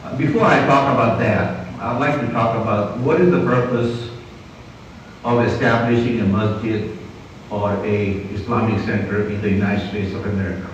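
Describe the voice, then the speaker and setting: Older non-native man addressing a community, slow with fillers; far from mic in an echoey hall. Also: lowish voice, fairly even intonation, dull audio, slight background noise. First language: not English. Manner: slow, deliberate, frequent "you know" and "uh". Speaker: community speaker.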